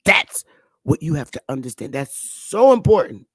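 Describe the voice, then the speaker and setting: A woman's voice: low-pitched, very animated, moderate pace, breathy edge, no room sound. A woman hosting an online talk show, giving an emphatic, preachy casual lecture and drawing out her stressed words. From northern United States. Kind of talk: casual teaching monologue.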